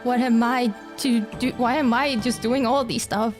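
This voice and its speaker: A woman's voice: fairly high pitch, fairly even intonation, moderate pace. A woman streaming a game with casual, playful live reactions. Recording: game music behind.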